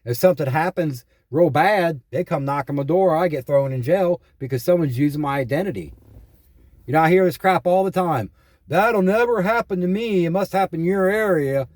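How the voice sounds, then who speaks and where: A man's voice: a little high, moderate pace, darkish tone, no room sound. A man talking casually as a caller, telling anecdotes in an exasperated, repetitive way and quoting past exchanges. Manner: repeats himself, quotes past exchanges, exasperated complaining. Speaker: caller reporting identity theft and scam calls.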